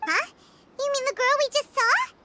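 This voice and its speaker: A woman voicing a wise, perceptive young goddess in child form for a video game, speaking in a calm, measured way. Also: very high voice, a little hiss or hum, full character voice. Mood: curious.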